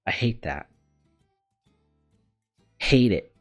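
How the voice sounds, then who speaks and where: A video game streamer talks through a visual novel in a medium-low, androgynous voice. The delivery moves between reading aloud and casual, candid commentary, self-deprecating and unafraid to swear. Gentle guitar music plays behind the voice. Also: lively intonation, drawn-out words.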